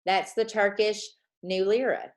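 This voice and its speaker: Slow-talking woman coaching. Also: mid-range voice, steady tone. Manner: slow, repetitive dictation, checking in with "okay?". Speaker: forex trading coach. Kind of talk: live teaching lesson.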